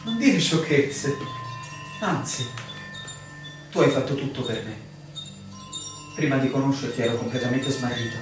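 An actor in a radio drama playing a frustrated, suspicious newcomer to a village, theatrical and full of insistent questions. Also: male voice, mid-range voice, expressive, unhurried, pauses often, music in the background, lightly acted. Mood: tender, troubled. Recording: echoey, distant, noisy room sound.

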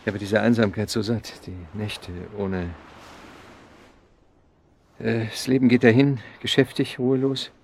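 An actor playing a lonely, wistful romantic in a German radio drama, speaking in a halting, florid way. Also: male voice, on the low side, little pitch movement, darkish tone, slight background noise. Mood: yearning, earnest. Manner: wistful, halting, given to Latin and French phrases. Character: lonely, wistful man, romantic and talkative suitor.

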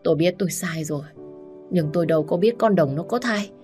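A woman's voice: on the low side, normal speed, dark tone, soft background music, lightly acted. A woman voicing a scheming older second wife who sobs and stammers as she pleads. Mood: pleading. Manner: sobbing, stammering.

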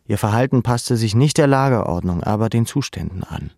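An actor playing a former camp inmate who narrates a literary memoir in a calm, measured, matter-of-fact way. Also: male voice, on the low side, creaky, no room sound, clean studio sound. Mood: sober, reflective. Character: male former camp inmate, sober, reflective storyteller. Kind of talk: literary first-person narration.

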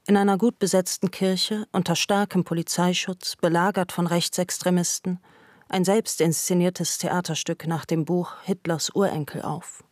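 A woman's voice: lowish voice, a little mellow, no echo. Woman reading documentary type narration, matter of fact, super dry sound. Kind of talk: scripted documentary-style narration.

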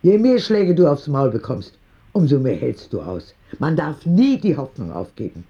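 A childlike, frank letter-writer in a radio drama. His narration is matter-of-fact but very animated in pitch, with plenty of creak. It sounds slightly muffled. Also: moderate pace, dry recording, full character voice. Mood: frank, content. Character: frank, childlike ten-year-old boy writing letters to God.

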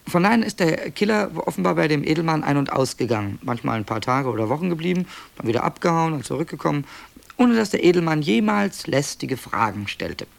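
A wry male author narrating a radio play in a fairly high, heavily creaking voice. He explains things in a dry, matter-of-fact way, with hesitations and small digressions, as if talking off the cuff. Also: expressive, a little fast. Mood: dry, explanatory.

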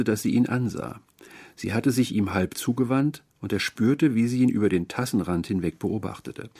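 Low-pitched, husky male literary narrator. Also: darkish tone. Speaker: narrator, reader.

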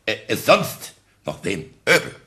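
An actor playing a flustered schoolteacher giving urgent orders in a German radio play. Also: male voice, mid-range voice, huge pitch swings, measured pace, sharp tone, heavily acted. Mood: alarmed, urgent. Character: flustered male schoolteacher, commanding his class.

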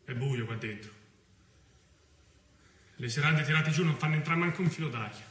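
An actor playing a restless, foul-mouthed young fugitive in a theatrical monologue, with a Roman accent and a colloquial manner. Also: male voice, on the high side, moderate pace, natural voice. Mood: brooding, fed up. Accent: Roman Italian. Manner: colloquial, swearing, swinging from brooding to excited play-acting. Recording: roomy, distant mic with faint noise.

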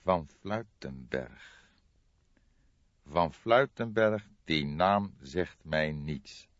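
A brooding older police detective played by a male reader in a crime audiobook. He is deep-voiced and weighs his words with formal care. The recording is very dry and a little dull. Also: animated, no room sound, exaggerated voice. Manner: deliberate, formal, ponderous. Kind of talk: audiobook character dialogue.

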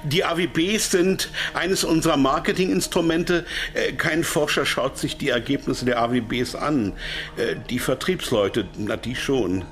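An actor playing a slick, self-satisfied pharmaceutical sales manager in a radio crime drama, explaining things at length. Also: male voice, mid-range voice, a little slow, a bit bright, close to an everyday voice. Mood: anxious, compliant. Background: faint music behind the voice.

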